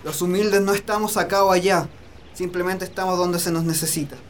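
A man's voice: sits high, fairly even intonation, fairly quick, slight background noise, close to an everyday voice. A man playing a humble, lovelorn young farmhand in a romantic radio drama, earnest and poetic. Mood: resigned, proud.

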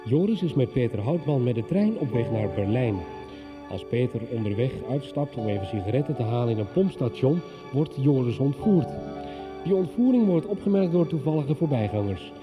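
A man's voice: mid-range voice, sing-song, moderate pace. A man reading a scripted recap of earlier episodes as a radio announcer. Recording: dull lo-fi broadcast sound. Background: music.

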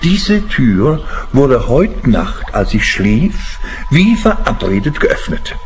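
An actor playing an eccentric, shifty married client in a radio play, rambling and hesitant with a pedantic streak. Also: male voice, medium pitch, sing-song, slow, a little hiss or hum. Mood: self-amused, chatty. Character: eccentric, chatty married businessman client, shifty and self-amused. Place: radio drama studio.

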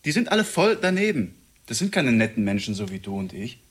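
An actor playing a cocky, crude, sweet-talking outlaw killer with a rough voice in a German radio drama. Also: male voice, medium pitch, sing-song, fairly quick, a little hiss or hum, natural voice. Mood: smug, teasing.

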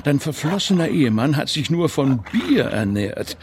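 A man's voice: fairly high pitch, sing-song, normal speed, a little hiss or hum. An actor playing a portly, self-satisfied zoo director who explains things to his lover pompously in a radio play. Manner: pompous, explanatory, condescendingly affectionate. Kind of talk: theatrical radio drama dialogue.

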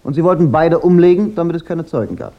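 A man playing a cool, sardonic private detective in a German radio crime drama, speaking in a dry, measured, laconic way. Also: on the high side, expressive, dull audio. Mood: controlled, accusing. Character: cool, sardonic private detective, unmasking killers with weary confidence. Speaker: detective and first-person narrator.

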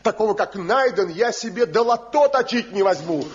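An actor playing a proud, envious rebel woodcarver in a theatrical, declamatory Soviet radio drama style. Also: male voice, high-pitched, animated, normal speed. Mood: proud, scornful. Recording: dull lo-fi 1970 radio recording.

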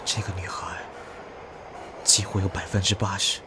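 An actor playing an anxious man trapped in a horror drama, reasoning tensely to himself in deliberate inner monologue. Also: flat delivery, close to an everyday voice. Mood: anxious, calculating. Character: anxious male player trapped in a ghost task. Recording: noisy train ambience. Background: train rumble.

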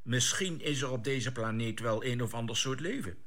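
An actor playing a decisive, composed spaceship captain in a radio drama, with a husky voice and an air of command. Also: male voice, medium pitch, normal speed, a bit bright, natural voice. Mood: measured, assured. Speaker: expedition leader.

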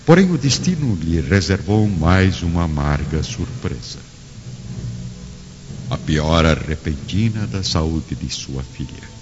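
A man's voice: low voice, a little mellow, a little hiss or hum. A man narrating a radio drama from a script in a solemn, theatrical manner. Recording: dull audio with long reverb and music.

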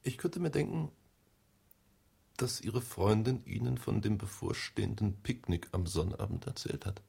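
An actor playing a shrewd middle-aged homicide detective in a radio drama, questioning in a formal, insistent way with a rough voice. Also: male voice, lowish voice, moderate pace, mellow, heavily acted. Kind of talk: radio drama interrogation dialogue.

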